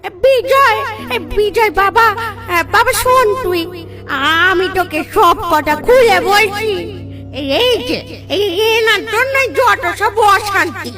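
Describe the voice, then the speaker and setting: Cartoon ghost auntie, super high wailing scolding voice, protective n sad, music behind. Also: female voice, lively intonation, slow pace, full character voice. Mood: lamenting. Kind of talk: dramatic Bengali cartoon voice acting.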